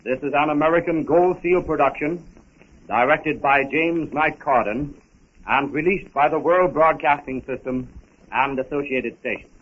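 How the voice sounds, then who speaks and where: A man's voice: on the high side, slightly rough. A man announcing a scripted adventure serial in a formal, dramatic 1930s radio style. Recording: muffled 1930s radio recording.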